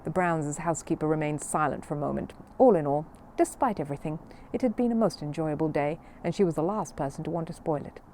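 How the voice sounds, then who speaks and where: Woman reader for children's audiobook, very lively intonation, soft dark tone. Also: low-pitched, fast, slight background noise. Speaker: storybook narrator. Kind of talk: expressive children's audiobook narration.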